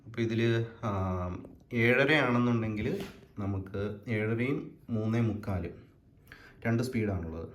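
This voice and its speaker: Kerala guy doing a hands-on demo, lowish voice, kinda halting, roomy echo. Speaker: presenter of a gadget demonstration. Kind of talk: unscripted hands-on demonstration. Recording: echoey room with background hiss.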